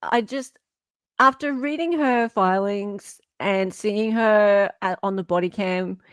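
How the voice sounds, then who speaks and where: A woman hosting a live stream, chatting and reacting in an expressive, emphatic way. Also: mid-range voice, slow, clear, resonant tone, no echo, clean studio sound. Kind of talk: casual chat and reaction.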